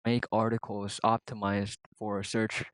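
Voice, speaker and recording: A man's voice: fairly low pitch, flat delivery, unhurried, a bit bright, no echo. A young man with a Filipino accent talking through his plans in a casual vlog, rambling with many fillers and restarts. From Philippines. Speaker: vlogger.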